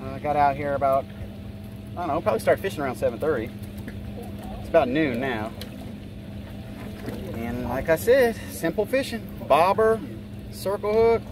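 A middle-aged man with an American accent and a fairly high voice hosts a fishing outing. He talks casually and in a folksy, easygoing way as he works. Clear outdoor noise from the boat and water runs behind him. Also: lively intonation, normal speed, pauses often. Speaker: fishing vlog host. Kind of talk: casual running commentary while fishing. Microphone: handheld camera or phone.

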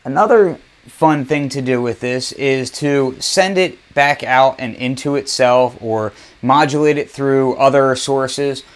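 A man's voice: medium pitch, measured pace. A man presenting a casual hands-on demonstration of audio gear, explaining each step as he does it. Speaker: presenter demonstrating a synthesizer module. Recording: noticeable background noise.

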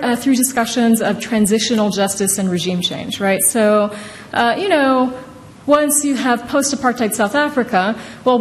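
A woman in her thirties with a fairly high voice gives an academic book talk in a conversational way. She often tags her points with a questioning "right?". The hall adds reverb, with some light noise. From Indonesia. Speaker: law professor, author presenting her book. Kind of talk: informal academic talk at a podium. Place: large wood-panelled courtroom-style hall. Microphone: podium microphone.

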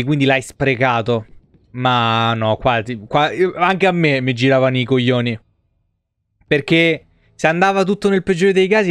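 A man's voice: on the high side, smooth, no room sound. A man, giving casual, sweary, joking live commentary on a video game. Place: indoor room, streaming setup.

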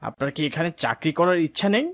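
Man acting a haughty, bullying councillor, fairly high-pitched. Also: expressive, fairly quick, fairly bright tone. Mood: indignant, threatening. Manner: haughty, threatening, scolding. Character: arrogant, bullying local councillor and angry father. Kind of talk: cartoon dialogue acting.

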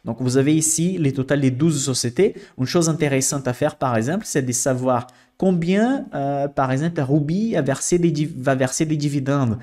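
Young guy, teaching stock filters on screen share, steady mid voice, lots of "ok?". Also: medium pitch. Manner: explanatory, frequent "ok?" checks and fillers. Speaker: investing channel host. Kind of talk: screen-share finance tutorial.

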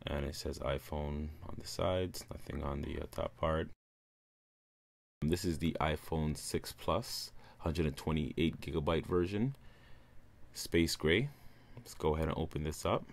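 A man, casually talking viewers through a phone unboxing in a relaxed, upbeat way. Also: a bass voice, measured pace, gravelly. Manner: relaxed, upbeat presenter addressing viewers as guys. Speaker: tech reviewer.

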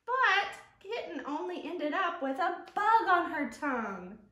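A woman in her thirties hosting storytime for young children, presenting and reading aloud expressively in simple, drawn-out phrasing. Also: very high voice. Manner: expressive, simple child-directed delivery with drawn-out key words. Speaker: children's librarian, storytime host. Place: living room at home, indoors. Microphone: camera a few feet away. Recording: roomy sound from camera mic.

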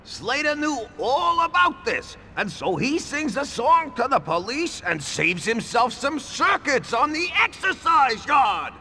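An actor playing a hot-headed, shrill male gangster henchman in a video game, strident and accusing. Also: very animated, measured pace, hardly any pauses, pushed and bright, soft background music, full character voice. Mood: angry, accusing.

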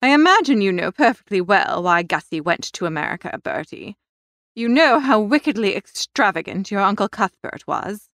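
A woman's voice: medium pitch, sing-song, moderate pace, fairly bright tone, no room sound, clean studio sound. A woman playing a bossy, formidable older upper-class aunt in a comic audiobook, scolding and emphatic. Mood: worried, disapproving.

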